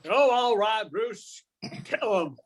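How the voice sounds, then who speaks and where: A man's voice: high voice, expressive, takes it slowly, exaggerated voice, rough recording. An older man playing a smitten, folksy cowboy gunslinger in an amateur radio comedy. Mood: exasperated. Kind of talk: amateur old-time radio comedy acting.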